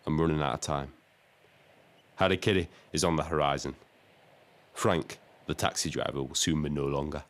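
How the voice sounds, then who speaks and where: An actor playing a lost, lonely taxi driver who narrates his audio drama in a measured, brooding, literary way. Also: rumbling low, fairly even intonation, fairly bright tone, slight background noise. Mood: grave, fatalistic. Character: lost, lonely male Brighton taxi driver, wryly resigned. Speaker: narrator and lead character. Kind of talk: scripted first-person audio drama narration.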